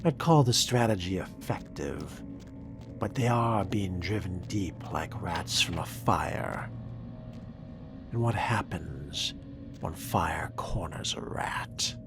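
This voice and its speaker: A man with a husky, breathy voice giving dry, sardonic in-character commentary as he plays a role-playing game. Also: deep voice, animated, slow pace, music in the background. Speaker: player narrating a role-playing game playthrough.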